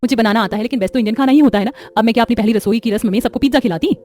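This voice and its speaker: Woman acting a fast-talking, sharp-tongued young wife. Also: fairly high pitch, close to an everyday voice. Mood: defensive. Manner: teasing, argumentative. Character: sharp-tongued, confident young daughters-in-law. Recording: music behind the voice.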